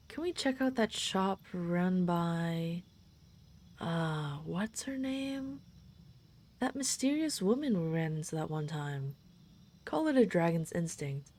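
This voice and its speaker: A woman playing a gentle, caring dragon friend in an intimate roleplay audio drama, speaking in a reassuring, considerate, slightly hesitant way. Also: fairly low pitch. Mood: cheerful, fond.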